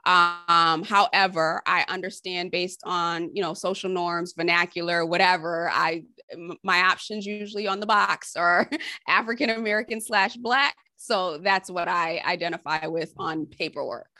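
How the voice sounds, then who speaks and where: Interviewee: woman, bright voice, reflective and circling, dry close mic. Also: on the low side, steady tone, moderate pace, no room sound. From Haiti, raised in Connecticut. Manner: thoughtful, rambling, many fillers and restarts. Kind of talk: casual oral history interview.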